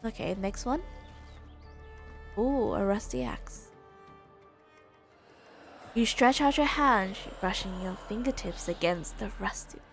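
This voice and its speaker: Slow-talking woman streaming a game. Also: medium pitch, very animated, vocal fry. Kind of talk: casual live playthrough, reading game text aloud. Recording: game music behind voice. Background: video game music.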